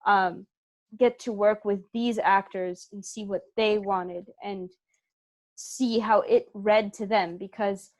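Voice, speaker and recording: A woman speaks as an interview guest at a medium pitch. She answers casually but thoughtfully, clarifying her points one by one and stressing the ones that matter. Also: steady tone, slow pace. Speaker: interview guest, theatre company member and writer.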